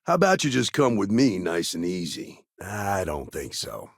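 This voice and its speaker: An actor playing a gruff, streetwise frontier lawman in a video game, with an American accent, a gravelly, husky voice and a dry, plain-spoken manner. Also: low voice, wildly swooping pitch, moderate pace, dry recording, full character voice. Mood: wary, guarded. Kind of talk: video game character dialogue.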